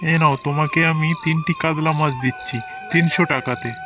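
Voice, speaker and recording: Fishmonger, played by a man, fairly high voice, neighbourly seller in a cartoon, dull recording. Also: steady tone, normal speed, music in the background, lightly acted. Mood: friendly, selling. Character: familiar neighbourhood male fishmonger hawking his catch. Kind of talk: amateur cartoon story voice acting. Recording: dull lo-fi with clear background noise.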